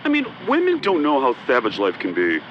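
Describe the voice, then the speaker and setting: Radio spoof: swaggering male flight commander, acted, flirtatious, lively pitch, dull noisy transmission. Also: a little fast. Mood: cynical. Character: flirtatious, swaggering air corps lieutenant. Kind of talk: comedic radio drama acting.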